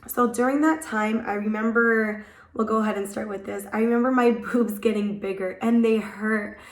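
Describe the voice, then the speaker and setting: A young woman vlogging in a casual, rambling way, her voice hoarse from a cold and just coming back. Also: mid-range voice, steady tone, moderate pace, reverberant. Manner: chatty, rambling, many "like" fillers. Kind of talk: casual chat to camera. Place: at home.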